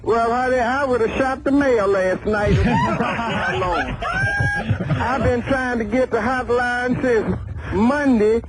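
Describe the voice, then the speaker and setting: A woman's voice: on the high side, measured pace. A woman talking casually as a phone-in guest on a radio show, feisty, blunt and plain-spoken. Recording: noisy phone call on old radio.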